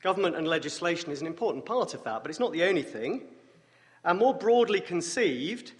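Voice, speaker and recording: An English man preaching as a guest speaker, with a wry, self-deprecating humor and a voice deeper than usual from a morning rasp. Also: sing-song, brisk. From England. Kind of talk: sermon with dry jokes. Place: church sanctuary. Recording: long church reverb.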